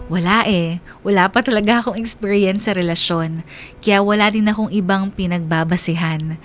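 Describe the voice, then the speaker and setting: A woman's voice: mid-range voice, slight background noise, close to an everyday voice. A woman playing a young letter-sender who narrates her first love in a radio drama, confiding and wistful, with a Filipino accent.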